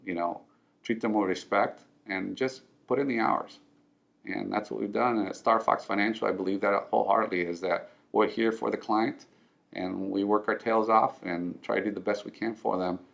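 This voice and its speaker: Low gravelly-voiced middle-aged man. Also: fairly bright tone. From Mexico. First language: Spanish. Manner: earnest, with frequent ums and restarts. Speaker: financial firm owner. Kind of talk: personal story told to camera.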